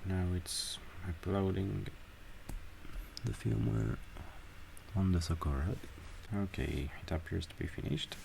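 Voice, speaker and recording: A man talking through a software tutorial step by step, with halting pauses between instructions. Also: low-pitched, gravelly, background noise. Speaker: tutorial presenter. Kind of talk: screen-recorded software tutorial.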